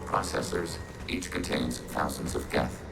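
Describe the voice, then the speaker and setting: A man's voice: sits low, measured pace, gravelly, loud music behind the voice. A man voicing a logical, emotionless robot soldier of a collective mind in a video game, speaking in formal, clipped statements through synthetic processing. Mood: impassive, analytical. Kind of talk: video game character acting.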